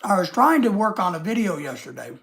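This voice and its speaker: A man's voice: high-pitched, big pitch swings, fairly quick, vocal fry, a bit bright. A man hosting a casual live stream with a head cold, rambling and reading out viewer comments.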